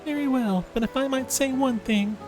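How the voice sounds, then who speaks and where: A man voicing a gentle, caring female companion in a fantasy game. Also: extremely high pitch, moderate pace, faint music behind, pitched above the performer's own voice, poor audio. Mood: gentle, reassuring.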